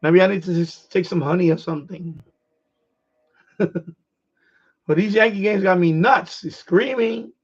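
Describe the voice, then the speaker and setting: A middle-aged man from New York with a New York accent, hoarse, chatting casually on his livestream and restarting his phrases as an eager sports fan. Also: high voice, unhurried, long pauses. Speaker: livestream host. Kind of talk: casual rambling chat. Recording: dull lo-fi stream audio.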